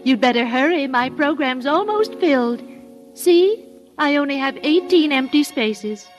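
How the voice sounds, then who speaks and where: A woman's voice: high-pitched, lively intonation, unhurried, faint music behind. An actress playing a coquettish, wistful mother lost in her girlhood in a radio drama, with a genteel, girlish, mannered delivery. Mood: coy, gracious. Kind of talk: old-time radio drama acting. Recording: old radio broadcast with musical underscore.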